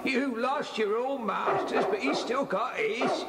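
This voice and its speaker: An actor playing a simple, spiteful old kennel keeper with rustic dialect, muttering and cackling in a children's audio drama. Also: male voice, very high voice, slow pace, a loud crowd. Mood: mocking, chuckling. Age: older. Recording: echoey cellar reverb on old cassette.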